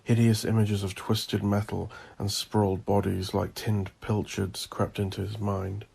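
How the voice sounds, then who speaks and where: Man, horror audio drama narrator, husky, gravelly, ominous, theatrical, deliberate delivery. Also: fairly low pitch, level tone, dark tone.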